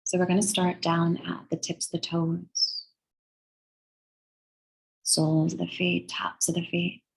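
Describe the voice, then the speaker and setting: A woman leading a guided meditation in a soothing, measured, breathy way, leaving long pauses between phrases. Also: on the low side, level tone, poor audio. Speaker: guided meditation and yoga teacher.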